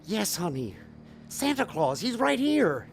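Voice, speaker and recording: A man playing an earnest, remorseful father and stage magician in an audio drama, speaking in a halting way. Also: high-pitched, sing-song, vocal fry, slight background noise, heavily acted. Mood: flustered, pleading.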